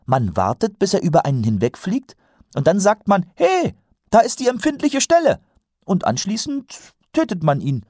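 A man's voice: on the high side, wildly swooping pitch, normal speed, a little mellow, breathy edge, no echo, studio quality, voice pushed up, exaggerated voice. Man as an earnest, lilting young recruit. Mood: sincere, explaining. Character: earnest, naive, eager young watch recruit. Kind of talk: audiobook character voice.

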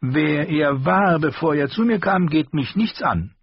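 Man doing a high stubborn old armorer. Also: unhurried, husky, dry recording. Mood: pleading. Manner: high strained pleading voice. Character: gruff older male master armorer, stubborn. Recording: dull lo-fi recording.

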